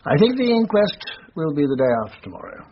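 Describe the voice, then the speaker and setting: An actor playing a businesslike, affable colonel leading an investigation in a radio drama. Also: male voice, a little high, sing-song, fast talker. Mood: brisk, businesslike. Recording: dull radio recording, faint noise.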